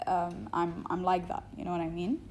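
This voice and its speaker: A woman's voice: on the low side, completely flat delivery, moderate pace, a little mellow, slight background noise. A young woman from Egypt, interviewed, talking casually and hesitantly with many fillers.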